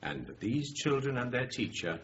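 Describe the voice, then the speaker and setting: An actor playing a calm police negotiator who speaks slowly and firmly. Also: male voice, mid-range voice. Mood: measured. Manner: slow, measured, reassuring. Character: calm male police negotiator, measured and firm. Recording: dull radio drama recording with light hiss.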